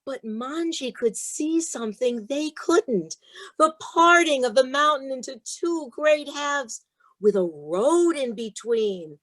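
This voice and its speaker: A woman's voice: high voice, animated, takes it slowly, fairly bright tone, low-quality recording. A woman speaking as a guest author, with an emphatic, rhythmic delivery suited to sharing and reading storybooks. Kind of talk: interview talk and expressive storybook read-aloud.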